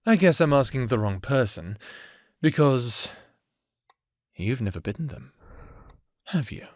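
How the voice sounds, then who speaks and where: A man's voice: mid-range voice, expressive, a little slow, dry recording, clean studio sound, natural voice. A man playing a cruel, manipulative vampire ex in an audio drama, savoring his words with a mocking, taunting drawl. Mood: mocking, probing. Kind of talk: dramatic audio roleplay acting.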